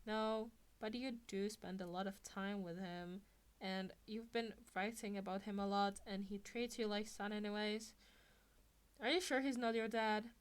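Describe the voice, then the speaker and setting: A woman voicing a blunt, serious teenage schoolboy in a story reading, with flat deadpan delivery. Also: mid-range voice, unhurried, smooth, close to an everyday voice. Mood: deadpan suspicion.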